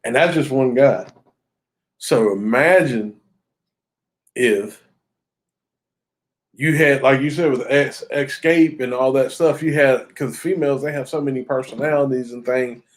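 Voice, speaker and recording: A man co-hosting a hip hop podcast, talking in a blunt, joking, animated way. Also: mid-range voice, measured pace, long pauses. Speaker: podcast co-host. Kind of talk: casual chat.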